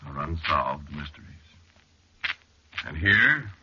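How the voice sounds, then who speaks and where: An actor playing an anxious, irritable male explorer in a 1940s radio horror drama, telling his story haltingly with deliberate, dramatic pauses. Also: extremely low pitch, big pitch swings, full character voice. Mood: brooding narration. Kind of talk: first-person 1940s radio horror narration and acting. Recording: noisy, muffled, clicky vintage radio recording.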